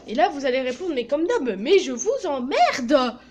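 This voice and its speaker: Teen girl vlogger, high voice, brash and foul-mouthed, phone-recorded in a small office with light hiss. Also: sing-song, moderate pace, darkish tone. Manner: crude, joking, swears a lot. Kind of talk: casual vlog.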